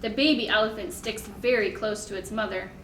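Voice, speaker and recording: A woman leading a toddler storytime in simple short phrases, with an animated, sing-song, encouraging manner. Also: mid-range voice, fairly quick, a little hiss or hum. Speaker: children's librarian leading storytime. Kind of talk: toddler storytime, singing-along instruction and read-aloud. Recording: echoey room.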